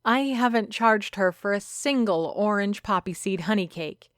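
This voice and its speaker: A woman narrating a first-person story from a script, reflective and measured, with dramatic phrasing. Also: mid-range voice, no echo, clean studio sound. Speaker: narrator of a fiction podcast.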